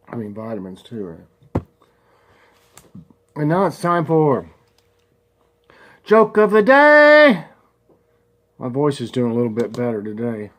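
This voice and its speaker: A man, a congested vlogger chatting folksily and slowly reading a devotional aloud. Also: medium pitch, fairly bright tone. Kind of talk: casual home vlog with prayer and devotional reading.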